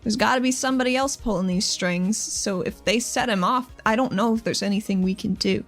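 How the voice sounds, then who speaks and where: A resolute squad leader played by a woman at a game table, frank and businesslike. Her voice sits at a middle pitch, with music playing faintly behind it. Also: brisk, lightly acted. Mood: frank, firm. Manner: direct, frank.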